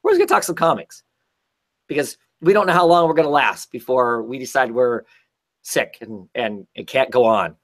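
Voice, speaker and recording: A man hosting a casual livestream chat about comics, hoarse and scratchy as he gets over an illness, rambling with many restarts. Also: medium pitch, sing-song, normal speed. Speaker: host.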